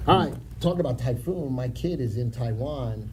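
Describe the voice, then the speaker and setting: A man's voice: lively intonation, normal speed, background noise. A man co-hosting a live stock market show, wisecracking and rambling as he goes over stock picks on a lapel mic. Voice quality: deep voice. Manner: wisecracking, rambling, self-mocking. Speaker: co-host, market instructor. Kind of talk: casual live market chat.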